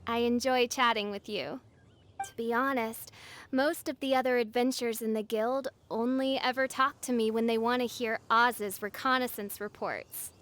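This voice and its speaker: Woman playing pompous high-voiced teenage self-styled princess. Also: steady tone, moderate pace, a bit bright, slight background noise, natural voice. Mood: sincere, plain. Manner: pompous, archaic, theatrical diction. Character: theatrical teenage girl adventurer posing as grandiose princess. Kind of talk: video game cutscene voice acting.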